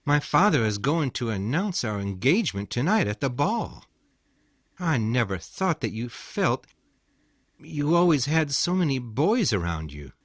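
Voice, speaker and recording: A man acting a gentle, conflicted young gentleman in a storybook reading, speaking softly and with restraint, turning harsh when he refuses. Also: mid-range voice, sing-song, measured pace, no echo. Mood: sad.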